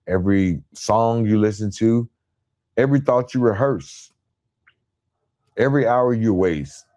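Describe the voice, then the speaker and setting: A man with a fairly low voice delivers a motivational podcast monologue. He addresses listeners directly, leaning on rhetorical questions and parallel phrasing to press each point with emphasis. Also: mellow. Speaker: podcast host.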